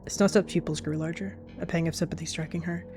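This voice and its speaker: A woman quietly reading roleplay narration in an even way, with faint music behind. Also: on the low side, fast talker.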